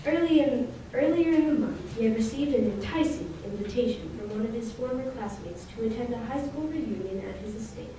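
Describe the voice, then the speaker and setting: A woman's voice: on the high side, fairly quick, hardly any pauses, warm tone, lightly acted. A female performer playing a solemn narrator of a detective mystery in a scripted old-time radio show. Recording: very echoey hall, distant mic, faint noise.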